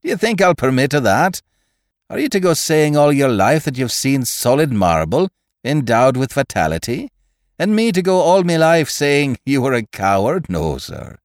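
A man's voice: mid-range voice, very animated, moderate pace, dry recording, clean studio sound, voice pushed up, close to an everyday voice. A man playing a burly, hearty Irish doctor in a story, blunt, emphatic and mocking. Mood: indignant, commanding.

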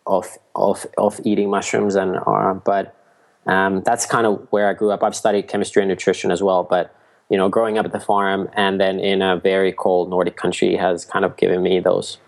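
A man, a guest in a podcast interview, explaining things in a steady way. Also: sits low, normal speed, vocal fry. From Finland. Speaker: guest, mushroom food entrepreneur. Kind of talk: casual interview talk.

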